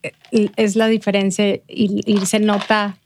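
A woman with a medium-pitched voice and a Mexican accent chats as a podcast interviewer. She is agreeable and informal, chiming in and echoing as she talks. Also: flat delivery, slow, slight background noise. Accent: Mexican Spanish. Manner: agreeable, chimes in and echoes the other speaker. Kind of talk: casual conversational interview.

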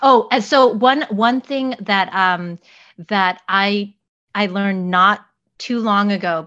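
A woman's voice: mid-range voice, a bit bright, highs cut off. A woman from the United States with an American accent, co-hosting a casual podcast chat and speaking hesitantly with many fillers. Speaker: podcast co-host.

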